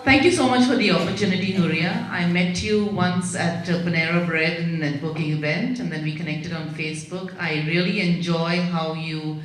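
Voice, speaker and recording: Polite woman, lowish voice, thanking the host, echoey room. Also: fairly even intonation, normal speed, hardly any pauses, a faint crowd. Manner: polite and appreciative. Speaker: event attendee.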